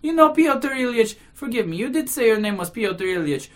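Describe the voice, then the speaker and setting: A woman's voice: a little high, big pitch swings, normal speed. A woman voicing a hysterical, indignant, self-dramatizing society lady in a classic novel reading, exclaiming and rambling in agitation. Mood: agitated, astonished.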